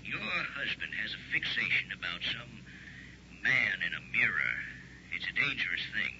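A man's voice: lowish voice, lively intonation, moderate pace. A man playing a grave, authoritative doctor in an early 1960s radio drama. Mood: grave, cautioning. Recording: muffled old radio recording with hiss.

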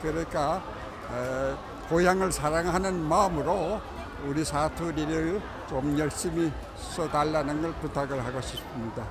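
An older man speaks slowly and deliberately, his voice sitting fairly high. He is recorded outdoors under a festival tent, with clear traffic and street noise behind him. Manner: slow, deliberate, earnest. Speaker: interviewee, judge. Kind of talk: interview comment.

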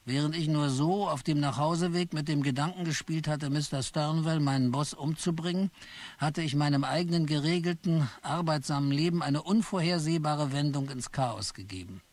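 A man's voice: on the high side, normal speed, darkish tone. A man narrating in first person as a weary office clerk in a German radio play. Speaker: narrator and lead.

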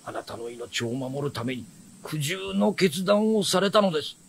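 An actor playing a stern retainer of a noble house, speaking formal, archaic Japanese with haughty deference in a period fantasy radio drama. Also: male voice, on the high side, expressive, moderate pace, gravelly, slight background noise. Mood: stern, reproving.

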